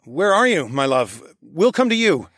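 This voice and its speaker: A man's voice: on the high side, sing-song, moderate pace, a bit bright, dry recording, studio quality, voice pushed up. A man voicing a determined, eager male fantasy leader in audiobook dialogue. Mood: urgent.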